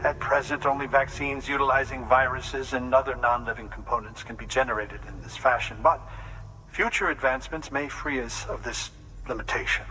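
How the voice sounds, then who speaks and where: Man playing a serious doctor, very bright voice. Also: medium pitch, steady tone, a little hiss or hum, close to an everyday voice, rough recording. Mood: instructive, serious. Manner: formal, measured, explanatory. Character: serious, precise male doctor briefing a courier. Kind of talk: scripted game dialogue.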